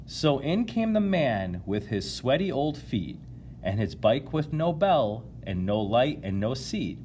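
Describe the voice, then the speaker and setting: A man's voice: medium pitch, big pitch swings, takes it slowly, darkish tone, slight background noise. A man reading a picture book aloud to young listeners, with casual asides. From United States, Illinois.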